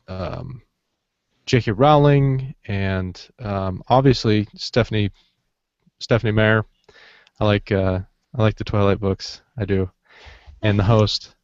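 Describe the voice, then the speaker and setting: A man's voice: fairly low pitch, fairly even intonation, measured pace, no room sound, dull audio. A man co-hosting a podcast, chatting casually about books and films in a jokey, rambling way. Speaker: podcast co-host.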